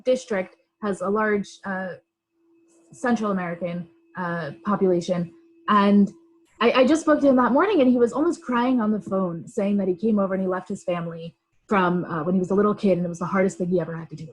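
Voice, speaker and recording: A woman guest, running for office, talks on a political podcast. Her voice is husky and breathy at a middle pitch. She speaks earnestly, with halting restarts and fillers. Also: poor audio. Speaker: congressional candidate guest. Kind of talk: casual political interview.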